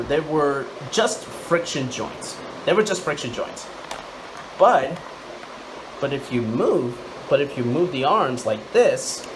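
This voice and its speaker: A man hosting a casual hands-on toy review, speaking haltingly and repeating words and phrases. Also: mid-range voice. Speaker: toy reviewer, channel host. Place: indoors, room at home. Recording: loud air-conditioner-like background noise.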